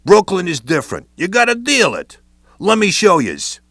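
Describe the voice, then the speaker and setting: Gravelly New wiseguy man. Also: high-pitched, huge pitch swings, moderate pace, a bit bright, no echo, studio quality. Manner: Brooklyn wiseguy slang, playful. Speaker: training video presenter. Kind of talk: scripted instructional narration.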